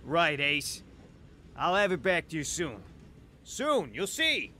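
A man's voice: a little high, big pitch swings, slow, pushed and bright, faint music behind, exaggerated voice. A man playing a down-on-his-luck small-time hustler in a radio drama, wheedling, hesitant and overfriendly. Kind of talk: radio drama dialogue acting.

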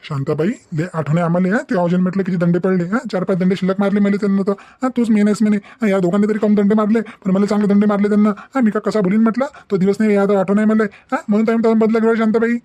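A man's voice: high-pitched, fairly even intonation, very fast, hardly any pauses, mellow, no room sound, natural voice. A man voicing a scheming, bumbling village kidnapper in a comedy cartoon, bossy and repetitive, with a Varhadi Marathi accent. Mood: aggrieved.

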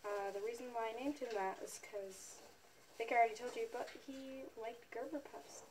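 A woman's voice: a little high, moderate pace, darkish tone. A young teenage girl talking casually and tenderly in a home pet vlog, recorded on a phone. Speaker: vlogger and amateur animal rescuer. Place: indoors in a home living room and bedroom. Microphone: phone, at arm's length or propped nearby. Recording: noisy amateur phone recording.

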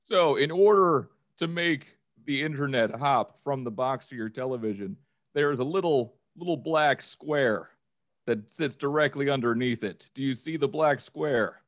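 A man's voice: medium pitch, no echo, close to an everyday voice. Man putting on an over-polite, stilted call-center agent. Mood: strained patience. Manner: stilted, formal, halting. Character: over-polite, strained customer service phone agent. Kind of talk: improvised comedy phone-call skit.

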